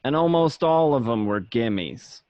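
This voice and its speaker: A dull, close and dry lo-fi recording of a man acting as a gangster telling his own story in a radio drama. His pitch is middling and he recalls his life of crime in the first person. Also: lively intonation, normal speed, a little mellow, dry recording, natural voice. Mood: matter-of-fact. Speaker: amateur actor.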